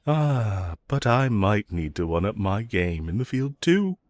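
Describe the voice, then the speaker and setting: A man playing a flirtatious, teasing villain in a romantic audio roleplay, with a husky voice and a playful, drawn-out delivery. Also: lowish voice, very animated, a little mellow, no echo. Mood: smug, flirtatious. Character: flirtatious, teasing male villain, playfully provoking his hero rival. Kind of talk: romantic audio roleplay acting addressed to listener.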